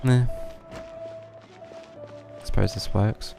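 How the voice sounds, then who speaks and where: A man's voice: fairly low pitch, fairly even intonation, extremely slow, vocal fry, over music. A man doing casual live commentary as a game streamer, muttering and musing aloud.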